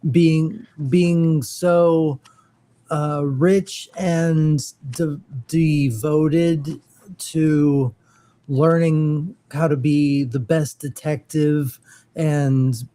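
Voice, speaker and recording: A man chatting casually about geek topics as a co-host, hesitant and pausing in the middle of phrases. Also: on the high side, steady tone, mellow. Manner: hesitant, trailing, pauses mid-phrase. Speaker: podcast co-host.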